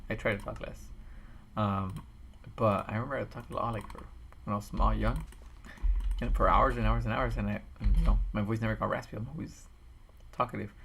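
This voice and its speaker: Low-pitched man with a gravelly, tired voice who rambles while building a game map on a stream. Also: fairly even intonation, a little fast, long pauses, fairly bright tone, slight background noise. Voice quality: raspy, tired voice. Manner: rambling, thinks aloud with restarts. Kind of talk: casual commentary while building. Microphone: desk microphone.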